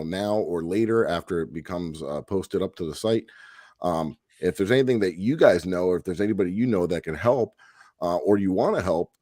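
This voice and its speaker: A man's voice: low voice, darkish tone, no echo, studio quality. A man hosting a paranormal podcast, talking in a friendly, rambling way with many fillers. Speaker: podcast host.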